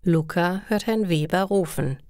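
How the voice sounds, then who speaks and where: A woman narrates a story for language learners with a fairly low voice and a very slow, deliberate delivery. Each short sentence stands apart, and the recording is very dry. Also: darkish tone, clean tone, dry recording.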